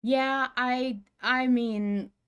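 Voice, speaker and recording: A woman's voice: high-pitched, fairly even intonation, extremely slow, clean tone. A woman co-hosting a podcast, speculating in an informal, chatty fan discussion. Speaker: podcast co-host. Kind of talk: casual fan discussion of an audio drama.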